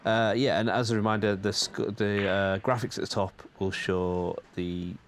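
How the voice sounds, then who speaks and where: A man's voice: lowish voice, flat delivery, normal speed, clear, resonant tone. A man hosting his channel, giving casual, rambling voice-over commentary with frequent hesitations, his voice still a little stuffed up and tired from a cold. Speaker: channel host.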